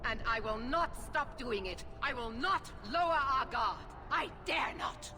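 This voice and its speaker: A woman's voice: high-pitched, expressive, a little slow, pushed and bright, a little hiss or hum, heavily acted. Severe, commanding female knight commander. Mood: fervent, resolute. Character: stern, zealous middle-aged female knight commander. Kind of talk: dramatic fantasy game acting.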